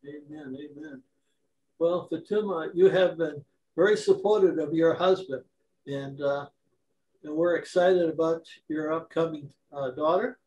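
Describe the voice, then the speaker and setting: An older man interviews guests over a webcam from his living room, answering with gentle amens. His voice is slightly high and the room around him sounds echoey. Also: measured pace, dull audio. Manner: gentle, affirming with frequent amens. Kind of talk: interview on a video call. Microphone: video call webcam. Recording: roomy echoing webcam audio.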